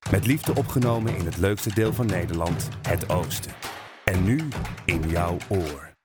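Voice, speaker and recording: A man's voice: low voice, measured pace. A man reading a scripted promotional introduction as a podcast announcer. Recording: loud music underneath.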